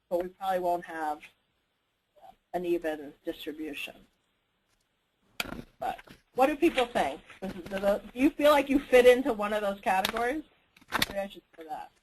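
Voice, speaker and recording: A woman organizer speaks informally on a conference call with a slightly noisy line. Her pitch is middling, and she thinks aloud tentatively, often putting things to the group. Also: darkish tone.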